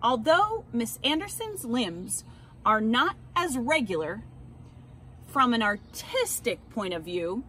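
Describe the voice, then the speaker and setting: A woman's voice: on the high side, big pitch swings, slow pace, sharp tone, a little hiss or hum, full character voice. A woman voicing stuffy, disapproving male doctors and clubmen from a children's storybook, pompous and emphatic.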